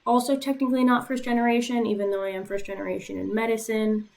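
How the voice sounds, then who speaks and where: A woman's voice: a little high, steady tone, fast, vocal fry, a little mellow. A woman in her twenties from Puerto Rico, a native Spanish speaker, casually walking through her own medical school application with frequent "um" fillers and a matter-of-fact manner.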